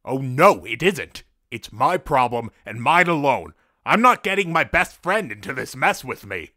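A man's voice: medium pitch, big pitch swings, a little slow, pushed and bright, no echo, clean studio sound, full character voice. A man playing a stressed, stubborn cartoon echidna with a blunt, defensive manner in a fan audio drama.

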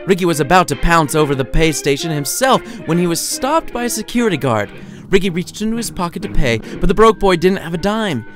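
A man's voice: on the high side, big pitch swings, brisk, a bit bright. A man reading his own short story as scripted narration, with a dramatic, playful storytelling delivery. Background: music under the voice.

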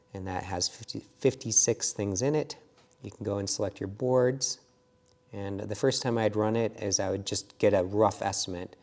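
A middle-aged man in his workshop with a lapel mic, calmly talking through a woodworking design software tutorial with casual fillers. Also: on the low side. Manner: relaxed, explanatory, with frequent fillers. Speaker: woodworking instructor. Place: wood workshop indoors.

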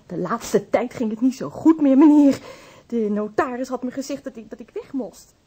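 An actress playing a distraught, timid and deferential housekeeper in a Dutch radio drama, speaking haltingly. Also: female voice, high voice, expressive, mellow, slightly rough. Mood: resigned.

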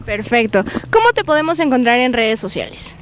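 High-voiced young Mexican woman interviewer. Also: sing-song, brisk, fairly bright tone. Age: young adult. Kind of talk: on-location interview. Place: outdoors in an open public plaza. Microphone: handheld reporter microphone. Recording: faint outdoor ambient noise.

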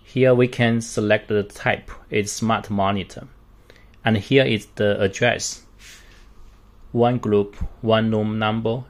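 A man walks through a product step by step in a fairly low voice. He talks slowly and haltingly, in short unscripted phrases with non-native English grammar. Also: steady tone. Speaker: product demonstrator.

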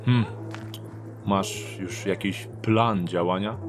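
An actor playing a sly, sceptical thief in a fantasy audio drama, scoffing and drawling. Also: male voice, sits low, fairly even intonation, slow pace, over music. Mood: relaxed, resigned. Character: sly, sceptical rogue thief, adult man.

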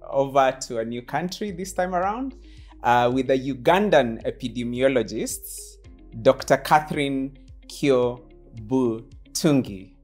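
Host, effusive, repeats words, studio lounge set, clip-on mic, faint music underneath. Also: female voice, rumbling low, measured pace, darkish tone. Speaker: talk show host. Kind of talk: warm formal interview introduction.

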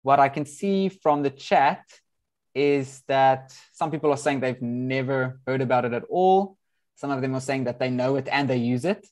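A man's voice: medium pitch, normal speed, a little mellow. A man whose first language is Afrikaans, hosting an online product talk over a video call in a friendly way with many ums. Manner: friendly, filler-heavy with frequent ums. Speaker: host. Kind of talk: webinar introduction.

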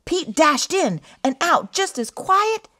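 A woman reading a children's book aloud as an expressive storyteller, with a sing-song, emphatic delivery of the rhymes. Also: very high voice, slow pace.

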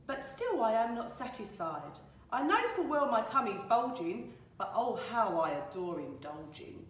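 A woman voicing a greedy, boastful male wolf in a storybook rhyme, loudly declaiming threats in an echoey room. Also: fairly high pitch, big pitch swings, a little slow. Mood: gloating. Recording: echoey room, distant mic.

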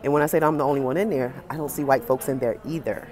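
An American woman in her forties talking casually and emphatically to camera as a fitness vlogger, pausing between phrases. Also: extremely low pitch, steady tone, a little mellow. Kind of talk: casual opinionated talk to camera. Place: indoor gym with high ceiling.

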